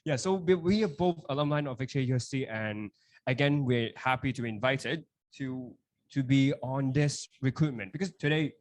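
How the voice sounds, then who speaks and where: A man's voice: medium pitch, normal speed, no room sound, rough recording. Upbeat young man co-hosting a podcast. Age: 20s. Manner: upbeat, laughs easily, finishes others' sentences. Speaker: podcast co-host. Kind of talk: casual chat at a live event. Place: live university event.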